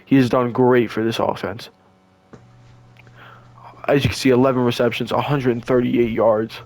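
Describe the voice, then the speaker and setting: A young man, hoarse and losing his voice, doing casual, slangy play-by-play of a video game football match. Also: mid-range voice, moderate pace, no room sound. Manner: excitable, slangy, stumbles and restarts. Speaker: play-by-play commentator.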